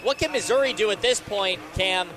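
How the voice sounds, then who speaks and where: A man's voice: high voice, moderate pace, music in the background. A young man calling live volleyball play-by-play as a radio announcer.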